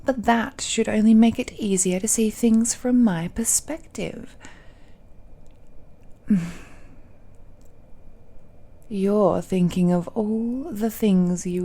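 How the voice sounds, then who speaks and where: Woman playing a languid, mellow, ancient villainess. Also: medium pitch. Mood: condescending, teasing, then bitter, mock pitying. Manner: languid, condescending, teasing, with sighs and hums. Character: ancient cursed giant villainess, teasing, smug, possessive lover. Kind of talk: intimate scripted audio roleplay.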